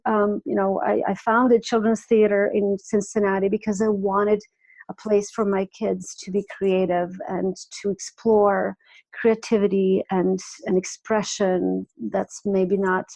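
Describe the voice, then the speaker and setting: A woman in her forties, originally from Croatia, chatting as a podcast guest. Her voice has a gravelly edge and she talks warmly, restarting sentences as she goes. She sits at medium pitch for a woman. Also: flat delivery, measured pace, rough recording. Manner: warm, chatty, frequent restarts and laughs. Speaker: guest, music artist and life coach. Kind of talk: casual podcast interview.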